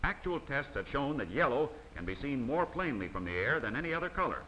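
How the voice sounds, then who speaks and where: A man narrating an old instructional film in a formal, measured way, his voice bright and lively in pitch. The soundtrack carries a faint hiss from an old film print. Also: dull audio. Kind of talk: scripted documentary narration.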